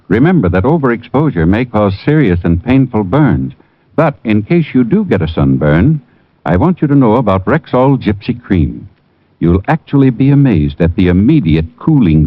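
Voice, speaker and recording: A man's voice: on the low side, dry recording. A man reading a vintage radio commercial in an earnest, persuasive way. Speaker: announcer. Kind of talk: radio ad read. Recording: tinny old radio broadcast.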